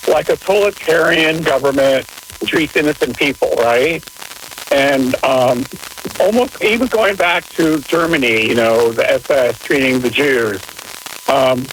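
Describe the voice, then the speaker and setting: Higher-voiced male caller, halting, noisy radio. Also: fairly bright tone. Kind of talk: casual, tentative call-in question. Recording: noisy radio broadcast with static.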